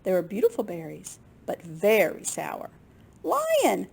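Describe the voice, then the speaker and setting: Slow, animated woman reading a storybook. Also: on the high side. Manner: expressive, unhurried, with small asides to listeners. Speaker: children's storytime reader at a library. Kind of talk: expressive picture book read-aloud for children.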